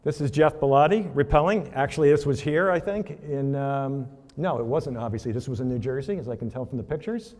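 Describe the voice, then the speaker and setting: A man's voice: mid-range voice, fairly quick, hardly any pauses, darkish tone. A man giving a casual speech at a charity reception, telling stories over slides with fillers. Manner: casual storytelling with fillers, asks for next slide. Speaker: charity event presenter. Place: banquet hall. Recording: reverberant hall.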